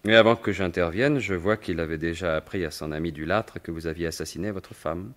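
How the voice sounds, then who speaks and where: An actor playing a suave, mocking gentleman thief in a 1950s-style French radio mystery, with a sardonic, assured, theatrical delivery. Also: low voice, brisk, natural voice. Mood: calm, triumphant.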